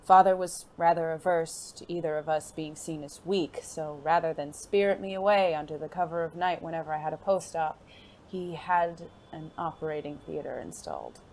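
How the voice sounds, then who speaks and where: An actor playing a suave, genteel gentleman secret agent in an audio drama, with formal, refined phrasing and a playful, flirtatious, teasing manner. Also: mid-range voice, moderate pace, a little hiss or hum, lightly acted. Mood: composed, explaining.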